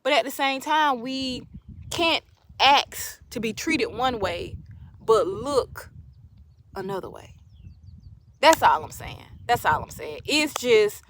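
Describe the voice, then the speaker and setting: Higher-pitched woman, emphatic casual monologue. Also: animated, takes it slowly, pauses often, a bit bright, slight background noise. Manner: emphatic, repeats phrases for emphasis. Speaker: livestream host. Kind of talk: casual opinion monologue to viewers.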